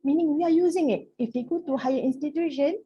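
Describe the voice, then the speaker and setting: A woman's voice: high-pitched, quick pace, dark tone, muffled recording. A Malaysian woman whose first language is Malay, giving an academic talk in English and often checking in with the audience. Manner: conversational, checks in with "right?". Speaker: lecturer. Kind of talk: academic presentation.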